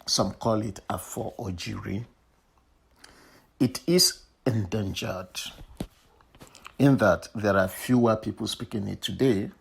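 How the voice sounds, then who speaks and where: A man's voice: fairly low pitch, takes it slowly, vocal fry, long pauses, husky. A man in his fifties from Nigeria, a native Eloyi speaker with a Nigerian accent, giving a prepared academic statement. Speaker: professor of literature.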